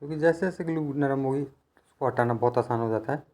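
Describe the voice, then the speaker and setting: A man's voice: medium pitch, a little fast, darkish tone. A man giving hands-on instructional narration as a repair technician, explaining things in a patient, practical, conversational way.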